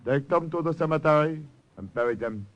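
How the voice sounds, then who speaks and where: An actor playing an imperious, suspicious Japanese colonel in an old radio drama. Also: male voice, fairly high pitch, animated, fairly quick, dull audio, natural voice. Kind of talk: 1950s radio drama acting. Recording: faintly noisy old radio recording.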